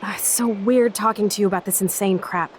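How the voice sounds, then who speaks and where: A woman's voice: mid-range voice, fairly even intonation, fairly quick, close to an everyday voice. Brash young punk woman played by an actress, noisy backdrop. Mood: candid, wry. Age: young adult. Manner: slangy, blunt, sardonic. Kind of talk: naturalistic video game acting.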